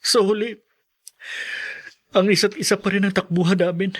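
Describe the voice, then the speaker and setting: High-pitched, anxious young man. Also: flat delivery, moderate pace. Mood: grieving, reflective. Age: young adult. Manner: stammering, emotional delivery. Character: anxious, devoted young male student and best friend. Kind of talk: Filipino podcast radio drama acting.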